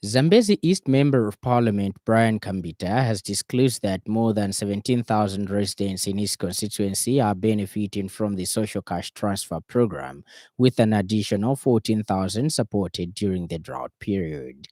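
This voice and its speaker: A man's voice: on the low side, animated, moderate pace, warm tone, dry recording, clean studio sound. A man with a Zambian accent reading a formal radio news bulletin as a news reader.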